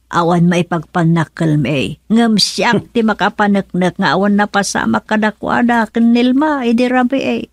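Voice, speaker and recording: A woman's voice: mid-range voice, normal speed, no echo, clean studio sound, natural voice. An actress with a Filipino Ilocano accent playing a poor, earnest older mother who pleads insistently for her child in a radio drama. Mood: pleading, defensive.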